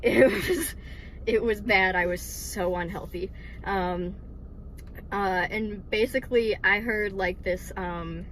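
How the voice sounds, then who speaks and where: A woman's voice: mid-range voice, fairly bright tone, low-quality recording. A woman in her twenties from Michigan tells her faith story in a casual, giggly way, dotted with ums and likes. A faint hiss of background noise sits under her voice. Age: 20s. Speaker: vlogger sharing testimony. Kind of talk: casual personal testimony.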